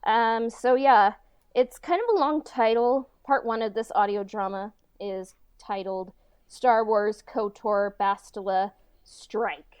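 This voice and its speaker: A woman co-hosting a podcast in casual, halting chat peppered with filler words like "like" and "kinda". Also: a little high, darkish tone, smooth, no room sound. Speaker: podcast co-host and audio drama writer.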